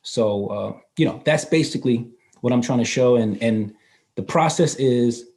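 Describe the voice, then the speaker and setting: A man's voice: mid-range voice, moderate pace, darkish tone. Laid-back man in his fifties explaining money. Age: 50s. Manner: explanatory, laughs easily, restarts phrases. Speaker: guest explaining finance. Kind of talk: casual interview explanation. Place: North Carolina.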